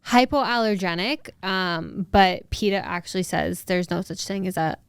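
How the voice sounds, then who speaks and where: A young woman talks through the news as a podcast co-host in an informal, chatty style. Her voice sits a little low for a woman and sounds crisp and smooth. It is recorded close, in a dry space. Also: normal speed, dry recording. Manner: informal, conversational. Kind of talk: casual podcast chat recapping news.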